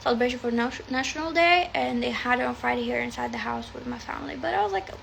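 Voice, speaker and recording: Woman, Arabic native speaker, tarot reader on a phone live: high, bright, raspy-fried voice, loose and profane. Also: quick pace, a little hiss or hum, poor audio. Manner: chatty, rambling, swears freely. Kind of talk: casual livestream chat. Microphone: phone livestream.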